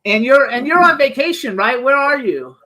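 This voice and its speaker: A man hosting a live celebrity interview show, talking in a chatty, rambling, excitable way. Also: extremely high pitch, moderate pace, poor audio. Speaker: talk show host.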